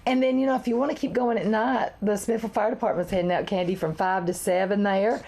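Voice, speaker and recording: Raspy woman hosting local TV. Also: medium pitch, fairly even intonation, fast, warm tone. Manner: chatty, laughs often. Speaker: chamber of commerce talk show host. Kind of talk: casual local TV chat. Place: local TV studio.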